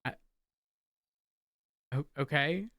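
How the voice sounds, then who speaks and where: A man's voice: on the high side, drawn-out words, sharp tone, dry recording. Teasing man, casual and close-sounding. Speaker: player in online roleplay. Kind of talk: casual banter in game roleplay.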